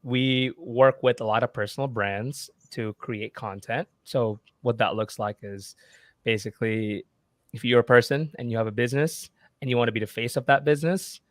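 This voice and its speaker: Man, twenties, from Indonesia, casual chatty guest, dry sound, mid pitch. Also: moderate pace, no echo. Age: 20s. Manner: relaxed, chatty, many fillers and restarts. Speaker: guest, marketing agency owner. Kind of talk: casual podcast interview.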